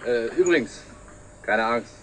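An actor playing a loyal, familiar truck-driver colleague in a 1960s TV drama. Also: male voice, medium pitch, animated, unhurried, close to an everyday voice, rough recording. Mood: helpful, teasing. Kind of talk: 1960s German TV drama acting. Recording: old TV film, faint noise.

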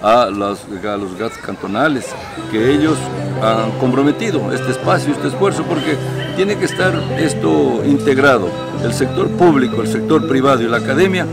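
Brief: older male official at an outdoor fair, emphatic halting clauses, loud music behind. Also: medium pitch. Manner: speaks in slow, emphatic clauses. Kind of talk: press interview. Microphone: handheld reporter mics.

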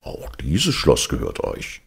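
A man playing a stately fairy-tale king with a slow, courtly manner. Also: very deep voice, wildly swooping pitch, creaky, breathy edge, heavily acted. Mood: astonished.

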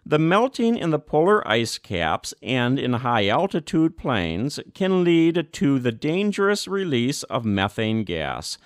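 Man reading aloud with lively intonation. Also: mid-range voice, no echo, clean studio sound. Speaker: reader. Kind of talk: scripted narration, reading a formal document aloud.

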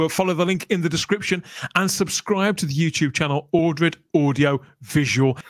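A middle-aged man hosts a podcast in a casual way. His voice sits a little high and sounds dry and close on a desk microphone. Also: fairly bright tone, dry recording. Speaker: podcast host. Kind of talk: casual hosting of a livestream chat. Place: small home room.

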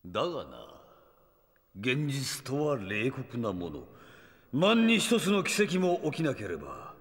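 An arrogant villain, wildly swooping voice. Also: male voice, medium pitch, huge pitch swings, slow, exaggerated voice. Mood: mocking contempt. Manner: pompous, theatrical, sneering. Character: arrogant, cruel, immortal male villain with time powers. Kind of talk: anime-style audio drama acting.

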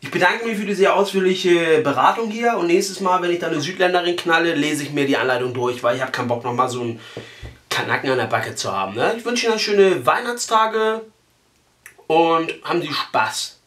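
A young man with a fairly high voice in an echoey room. He talks casually and colloquially, brash and crude, as if making an earnest phone enquiry. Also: brisk, a bit bright, a little hiss or hum. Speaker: prank call host. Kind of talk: casual prank phone call. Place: small living room, indoors.

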